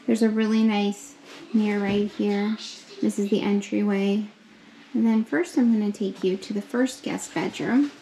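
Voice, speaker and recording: A young woman giving an upbeat, slow walkthrough of a hotel suite, pointing things out as she goes, recorded on a handheld camera. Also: mid-range voice, fairly even intonation, vocal fry, dark tone, slight background noise. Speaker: vlogger giving a room tour. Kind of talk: casual walkthrough narration. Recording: echoey rooms with faint ambience.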